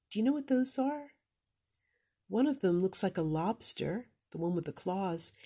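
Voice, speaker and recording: Low, husky woman reading a storybook. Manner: expressive. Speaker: storybook reader. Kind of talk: children's read-aloud narration.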